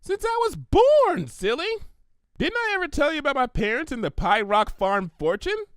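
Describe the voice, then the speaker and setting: A man voicing a bubbly, hyperactive young female cartoon pony with chirpy, excitable emphasis. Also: very high-pitched, moderate pace, no echo, clean studio sound, pitched above the performer's own voice. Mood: bubbly, eager.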